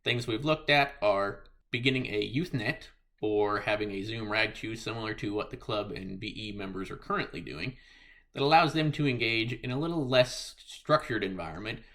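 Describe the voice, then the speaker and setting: A man's voice: on the low side, normal speed, fairly bright tone. A man giving a scripted presentation as a member of a hobby club.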